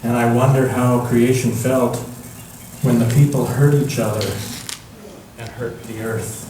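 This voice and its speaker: A man reading a picture book aloud as a storyteller, in a gentle, measured manner with long pauses. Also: mid-range voice, level tone. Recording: echoey room from far off, background noise.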